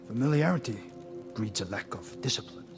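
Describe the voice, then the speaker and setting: An actor playing a stern, dutiful middle-aged samurai lord and father in a video game, speaking formally and deliberately. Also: mid-range voice, expressive, music in the background, close to an everyday voice. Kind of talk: video game cinematic acting.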